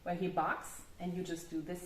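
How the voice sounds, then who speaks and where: A woman's voice: on the low side, level tone, normal speed, echoey room. A woman with a German accent, hosting a casual chat and laughing often. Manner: laughs often, restarts, loose and chatty. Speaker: host.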